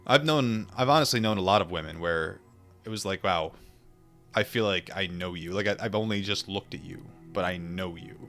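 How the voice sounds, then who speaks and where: Male streamer, lower register, informal and musing, shifting between book reading and reflective chat, light music bed. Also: fairly bright tone. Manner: musing, informal, with pauses and repetitions. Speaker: streamer reading a book aloud and chatting with viewers. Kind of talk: book read-aloud mixed with casual reflective chat.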